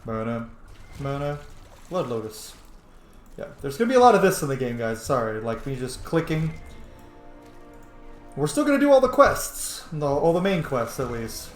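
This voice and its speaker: Guy doing a casual let's play, playful and sweary, mid pitched voice, really echoey room. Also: big pitch swings, normal speed, pauses often, soft background music. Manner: playful, informal, swearing, muttering to self. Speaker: gaming video host. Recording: echoey room with faint noise.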